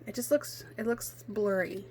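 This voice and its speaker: A woman's voice: medium pitch, measured pace, mellow, a little hiss or hum. A woman with a slightly froggy voice, chatting casually while she demonstrates card making at a home craft table, thinking aloud and poking fun at herself. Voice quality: slightly froggy, hoarse from allergies. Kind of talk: casual craft tutorial chat.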